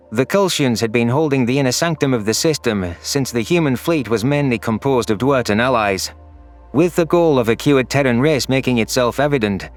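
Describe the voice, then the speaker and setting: A man narrates a science fiction audio story in a scripted first-person style. His voice sits at an ordinary middle pitch, with faint music underneath. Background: faint background music.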